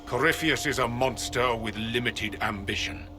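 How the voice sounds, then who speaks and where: An actor playing a grave, fanatical leader of a holy order in a video game, measured and lecturing. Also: male voice, medium pitch, lightly acted. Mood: cold conviction. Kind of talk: dramatic video game villain monologue. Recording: prominent music behind the voice.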